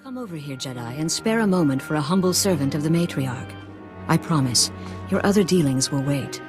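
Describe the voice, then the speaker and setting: An actor playing a humble, solemn village healer-priest in a video game, formal, courteous and ominous. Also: male voice, fairly high pitch, lively intonation, a little fast, over music, close to an everyday voice. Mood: solemn urgency. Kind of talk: video game dialogue acting.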